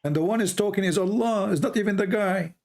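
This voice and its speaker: An insistent man with an Arabic accent pushes his point hard and repeats words for emphasis. His voice sits a little high for a man. He is hosting a heated debate. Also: fast talker, creaky, darkish tone. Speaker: debate host.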